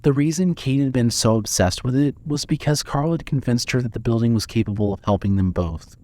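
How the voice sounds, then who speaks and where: A man's voice: medium pitch, a little fast, a little mellow. A man reading scripted material as the narrator and announcer of a fiction podcast.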